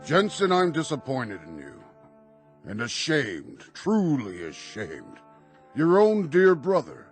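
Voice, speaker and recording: A man's voice: on the high side, wildly swooping pitch, slow pace, husky, soft background music, exaggerated voice. An actor playing a solemn, self-important town sheriff who hedges to hide his cowardice, in a radio drama. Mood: indignant, solemn.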